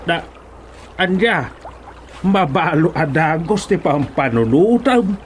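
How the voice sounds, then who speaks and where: A man's voice: fairly high pitch, expressive, takes it slowly. A man playing a villager in a radio drama, talking in exclamations and sighing interjections. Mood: concerned. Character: male villager fretting over his cousin. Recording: background noise, water ambience.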